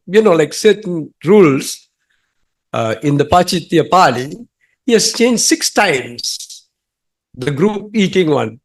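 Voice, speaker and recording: A young adult man from Singapore, a monk, making an earnest, emphatic comment from the audience at a talk, halting with fillers. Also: fairly high pitch. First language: English. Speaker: monk asking a question from the audience.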